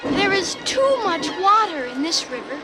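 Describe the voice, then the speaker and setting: A little storybook tugboat with an extremely high, childlike voice. The delivery is lively and swooping, proud one moment and plaintive the next, with music playing underneath. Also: female voice, a little slow, heavily acted. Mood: worried. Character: small proud, easily worried toy tugboat, childlike and boastful. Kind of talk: children's storybook character acting.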